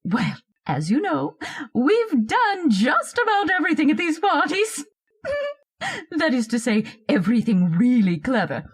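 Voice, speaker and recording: An actress playing a pompous, bossy older society hostess in a radio play, with a theatrical, affected, self-satisfied way of talking. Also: female voice, high-pitched, huge pitch swings, a little slow, heavily acted. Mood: gushing, self-satisfied. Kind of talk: old-time radio horror-comedy acting.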